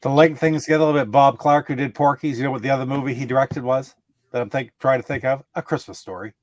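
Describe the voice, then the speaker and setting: A man's voice: medium pitch, fairly even intonation, fast, no room sound. A man talking casually as a panelist in a group chat show. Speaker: podcast panelist.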